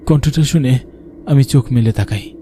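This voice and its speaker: A man's voice: medium pitch, normal speed, background noise. A man narrating a scripted horror story for a cartoon.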